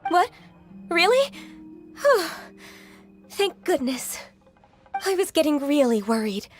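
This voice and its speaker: A gentle, anxious young secretary in a game, soft-spoken and hesitant. Her voice is high and polite, with faint game music behind. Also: sing-song, takes it slowly, full character voice. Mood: timid.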